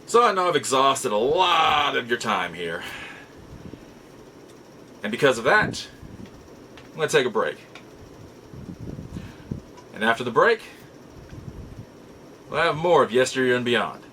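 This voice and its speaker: A bright, keen-edged voice from a middle-aged man of average pitch, with slight noise behind it. He hosts casually, reminiscing in halting, reflective phrases and repeating words for emphasis. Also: sing-song, poor audio. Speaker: show host. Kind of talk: casual nostalgic storytelling to viewers.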